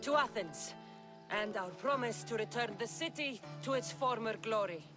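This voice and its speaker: A woman's voice: fairly high pitch, lively intonation, normal speed, music in the background, lightly acted. An actress playing a battle-worn, loyal female mercenary in a video game, speaking tersely and sincerely. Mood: resolute. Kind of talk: dramatic game cutscene dialogue.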